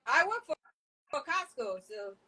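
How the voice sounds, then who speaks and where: A woman in her forties from Africa, a guest on a dating show, friendly and quick to laugh. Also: high voice, big pitch swings, crowd noise. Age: 40s. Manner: friendly, laughs easily, says girl and child. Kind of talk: casual interview.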